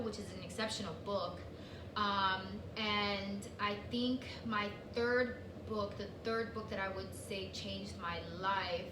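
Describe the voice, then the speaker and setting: A woman whose first language is Spanish chats casually as a co-host, full of fillers and restarts. She sits back from the mic in an echoey room. A steady hiss of noise runs under her voice. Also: mid-range voice, distant mic. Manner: chatty, lots of fillers and restarts. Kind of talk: casual chat.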